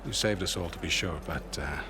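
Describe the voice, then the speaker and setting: A man playing a grave, formal spymaster of a sun kingdom in a video game, measured and courtly. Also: low-pitched, lightly acted. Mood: grave, wry. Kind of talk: dramatic video game acting. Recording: noisy ambience behind the voice.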